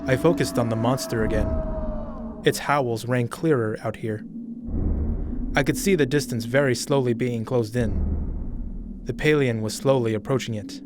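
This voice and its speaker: A young man reading a first-person audiobook story in a slow, measured, brooding way. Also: mid-range voice, background noise. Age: young adult. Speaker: narrator.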